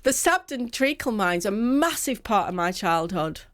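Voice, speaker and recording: A woman's voice: medium pitch, sing-song, normal speed. A woman chatting casually on a radio show. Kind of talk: casual chat.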